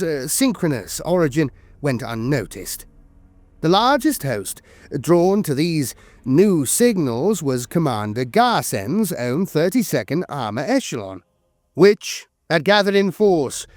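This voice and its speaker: A man's voice: on the high side, darkish tone, no echo. A man delivering dramatic scripted lore narration in a solemn, grandiose manner.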